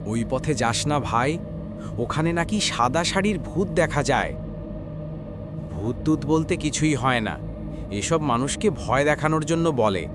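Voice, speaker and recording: A man's voice: fairly high pitch, animated, unhurried, long pauses, noisy background. A man voicing a village fellow in a cartoon horror story, talking earnestly. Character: village man talking about a haunted path.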